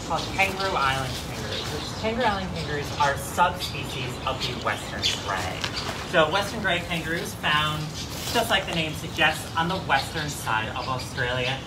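A man's voice: a little high, lively intonation, normal speed. A young man from the United States with an American accent, giving an informal educational animal talk as a zookeeper. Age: young adult. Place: outdoor zoo enclosure. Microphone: handheld phone a few steps away. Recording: roomy, distant, noisy outdoor phone recording.

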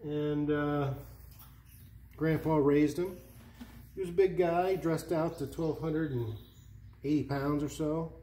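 A man in his fifties casually narrating hands-on garage work, with halting, hesitant phrasing. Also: fairly high pitch, steady tone, warm tone, slight background noise. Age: 50s. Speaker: DIY hobbyist host. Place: indoor garage workshop.

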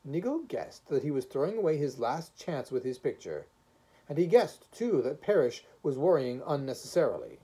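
A reader voicing a gentle, patient advocate who pleads kindly and reasonably in measured phrases. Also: medium pitch, sing-song, slightly rough, rough recording. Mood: kindly, reasoning.

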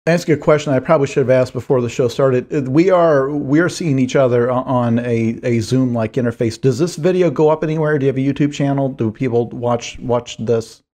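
A hoarse man in his forties, a guest author, chatting in a rambling, self-deprecating way on a video call from a small home office. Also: medium pitch, brisk, hardly any pauses, darkish tone. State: hoarse, strained voice. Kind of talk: video call podcast chat. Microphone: headphones, desk mic on video call.

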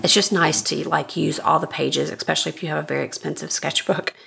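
A woman's voice: low voice, fast talker, creaky. A middle-aged woman with a scratchy voice, casually talking through her sketchbook pages while flipping them in her studio. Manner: relaxed, thinking aloud while flipping pages. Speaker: artist giving a sketchbook tour. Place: home art studio, indoors. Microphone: overhead camera, speaker off-frame.

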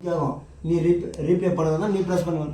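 A man's voice: fairly high pitch, fairly even intonation, fast. A man chatting casually in short, informal remarks. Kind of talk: casual chat. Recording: distant echoey voice amid loud noise.

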